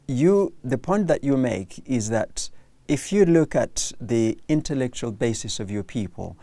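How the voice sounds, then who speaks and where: A man's voice: mid-range voice, vocal fry, a little mellow, dry recording. A man hosting an interview, thinking aloud and often restarting his sentences. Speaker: interview host. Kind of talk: interview conversation.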